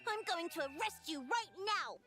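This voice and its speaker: A woman's voice: very high voice, animated, moderate pace, bright, forceful tone, full character voice. A woman playing a tiny, earnest, easily hurt but determined officer in a video game, childlike and officious. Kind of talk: video game character acting. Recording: game music behind.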